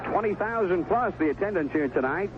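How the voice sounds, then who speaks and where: Animated baseball announcer, tinny old radio. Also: male voice, high-pitched, fairly quick. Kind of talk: live radio baseball commentary. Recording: narrowband noisy 1970s AM radio broadcast.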